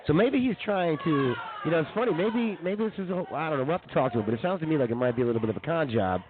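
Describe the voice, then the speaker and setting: A man's voice: on the high side, expressive, very fast, noisy background. A man co-hosting a comedy radio show, bantering casually in a slangy, musing way. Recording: tinny lo-fi radio stream.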